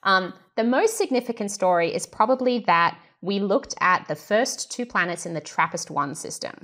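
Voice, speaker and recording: A woman speaking as a guest scientist, explaining things in an informal, animated conversational way and acting out imagined remarks. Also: mid-range voice, normal speed.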